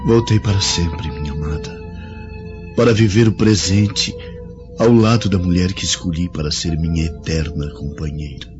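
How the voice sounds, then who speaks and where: A man's voice: deep voice, moderate pace, close to an everyday voice. An actor with a Brazilian accent playing an ardent, repentant Roman nobleman in a radio drama, earnest and romantic. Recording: dull lo-fi audio, music clearly behind.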